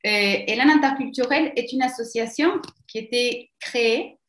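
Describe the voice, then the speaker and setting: Argentine-accented woman, psychologist leading a webinar, halting delivery, reverberant room. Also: on the high side, a bit bright. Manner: halting, searching for words. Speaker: psychologist presenting a training. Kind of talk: webinar presentation. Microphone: video call. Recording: roomy echo.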